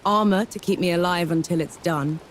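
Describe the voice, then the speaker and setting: A woman's voice: on the low side, fairly quick, a little hiss or hum, close to an everyday voice. An actress playing a determined woman soldier and god-killer in a game cutscene, resolute and commanding. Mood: rallying. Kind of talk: video game cutscene acting.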